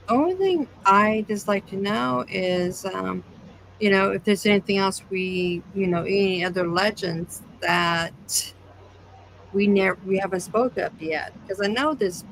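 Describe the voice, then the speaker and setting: A woman asks questions as a podcast co-host in relaxed, conversational interview style. Her voice sits at an average pitch, with some noise on the recording. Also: takes it slowly, rough recording. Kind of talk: casual interview chat.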